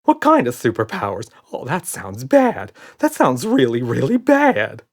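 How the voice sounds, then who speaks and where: A man's voice: mid-range voice, wildly swooping pitch, unhurried, voice pushed up, exaggerated voice. A man voicing a short, chubby, anxious male storybook monster with a squealing, whiny, frantic delivery. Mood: frightened. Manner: frantic, stuttering when frightened.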